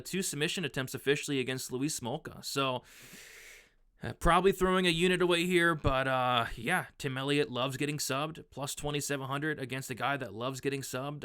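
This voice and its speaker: A young man, hoarse from losing his voice, casually talking through his fight betting picks with lots of fillers and restarts. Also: medium pitch, bright, forceful tone. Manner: rambling, filler-heavy, frequent restarts. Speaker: sports betting analyst.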